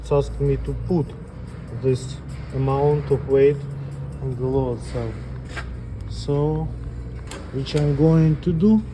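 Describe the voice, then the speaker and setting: A man giving an unscripted step-by-step equipment tutorial as an instructor, speaking plainly and haltingly in short phrases. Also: mid-range voice, fairly even intonation, music in the background, rough recording. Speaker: instructor demonstrating equipment calibration.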